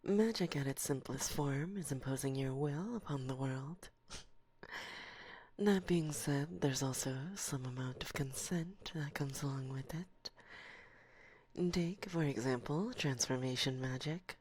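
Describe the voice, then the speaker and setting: A woman's voice: extremely low pitch, darkish tone, breathy edge, exaggerated voice. A woman playing a teasing, domineering forest witch in an ASMR roleplay, speaking breathily and close to a binaural microphone, slipping into whispers. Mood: musing, amused. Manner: teasing, condescending, slow and deliberate, often slipping into whispers.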